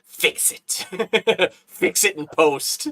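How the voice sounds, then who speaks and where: A man hosts a loose, casual chat with a bright, crisp voice. He talks in animated bursts, laughs often and repeats his words as he goes. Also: high-pitched, extremely slow. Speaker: host.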